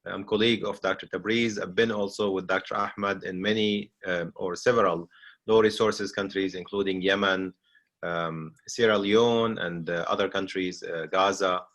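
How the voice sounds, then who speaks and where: Low-pitched man with Syrian Arabic accent. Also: steady tone, moderate pace, a bit bright. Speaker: physician moderating a seminar. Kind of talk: unscripted seminar discussion.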